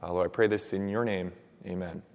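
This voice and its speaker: A young man with a hoarse, raspy voice preaching and praying in casual, earnest words with many fillers. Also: sits low, steady tone, a little fast. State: hoarse from shouting at camp. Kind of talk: sermon with prayer. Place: church hall. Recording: long reverb of a church hall.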